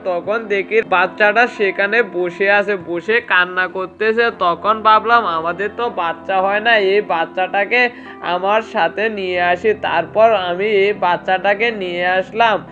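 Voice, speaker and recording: A woman's voice: medium pitch, a bit bright, lo-fi sound, close to an everyday voice. A woman voicing a kindly, fat, horned male ghost in a children's cartoon, speaking in a caring, reassuring way.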